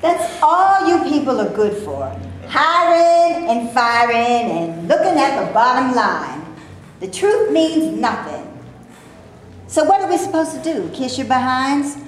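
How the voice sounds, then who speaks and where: Staged play, reverberant audio with faint noise: female performer as scornful screen actress, high voice, slow accusatory delivery. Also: big pitch swings, full character voice. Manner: deliberate, accusatory. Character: scornful, outspoken woman who works in movies. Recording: very echoey live room, faint noise.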